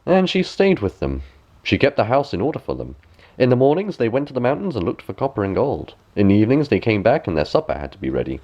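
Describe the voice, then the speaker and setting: A man reading a bedtime fairy tale aloud as an expressive storybook narrator. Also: medium pitch, fast, dull audio.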